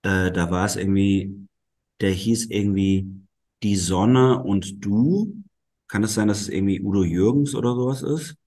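A man's voice: lowish voice, moderate pace, warm tone. A bilingual man who grew up with German and Italian, a guest in a casual interview, explaining thoughtfully at length with many fillers. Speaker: musician and guest.

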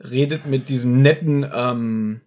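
A man's voice: medium pitch, a little slow, darkish tone. A man co-hosting a podcast, casually discussing an audio drama with friends in a bantering way. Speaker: podcast co-host.

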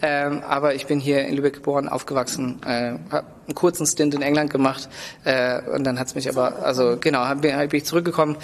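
A man's voice: medium pitch, level tone, a little fast, in a large hall, slight background noise. A man from Germany, a coffee roaster, talking as an interview guest with many hesitant fillers and enthusiasm. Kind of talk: casual interview. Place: large building site hall.

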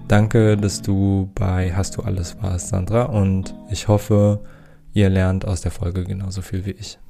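A man's voice: deep voice, level tone, a little slow, gravelly, loud music behind the voice. A man hosting a podcast interview, friendly and careful, choosing his words as he asks questions. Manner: friendly, careful, searching phrasing. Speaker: podcast host.

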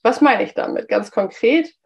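A woman hosting a solo business podcast, slightly hoarse from a cold and chatty, with frequent filler sounds. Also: a little high, animated, normal speed. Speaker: podcast host.

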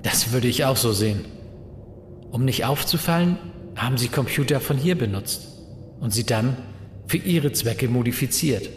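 A man playing a level-headed professor in a German science-fiction audio drama, speaking in a measured, analytical way. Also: medium pitch, animated, natural voice. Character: level-headed male professor exploring an alien mystery. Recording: echoey hall reverb with noise.

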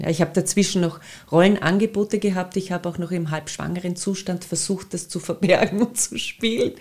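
A woman's voice: fairly low pitch, dark tone. A middle-aged woman with an Austrian accent, answering conversationally as an interview guest. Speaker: podcast guest, actress and singer.

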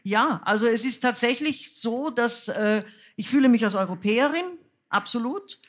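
A woman's voice: fairly high pitch, normal speed. A woman raised in the United States, a singer and author, talking frankly and wryly with many fillers as a guest on a stage before an audience. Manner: lively, frank, self-mocking, many fillers. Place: cultural centre stage with audience.

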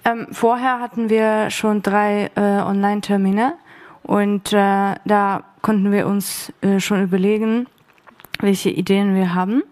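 A woman who took part in a workshop, answering on a panel in a hesitant way with many pauses and fillers. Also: mid-range voice, flat delivery, a little mellow, no echo. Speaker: workshop participant.

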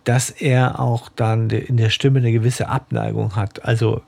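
A man's voice: mid-range voice, level tone, normal speed, vocal fry, a little mellow, slightly rough. A man co-hosting a podcast, chatting casually and thoughtfully, often trailing off into tag questions. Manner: musing, trails off with "ne?" and "irgendwie". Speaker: podcast co-host. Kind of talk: casual chat about a radio drama.

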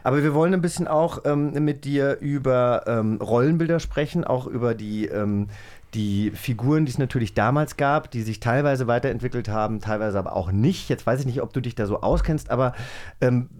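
A man's voice: medium pitch, fairly quick, darkish tone. A man hosting a casual podcast conversation, speaking in a candid and personal way. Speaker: host.

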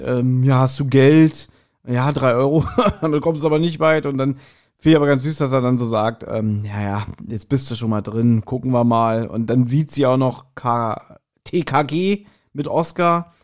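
Guy co-hosting a podcast, normal mid voice, chatty, bit halting when he retells stuff. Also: medium pitch, steady tone, a little mellow. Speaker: podcast co-host. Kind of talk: casual podcast chat, reviewing audio dramas.

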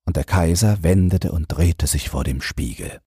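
A man's voice: low voice, normal speed, dark tone, husky, dry recording, studio quality. A man narrating a fairy tale as a bedtime audiobook, reading from a script.

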